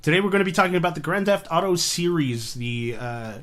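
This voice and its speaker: A man's voice: fairly high pitch, lively intonation, fairly quick, a bit bright. A young man from El Salvador hosting a casual podcast, joking and loose, swearing freely. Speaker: podcast host. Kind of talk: casual chat.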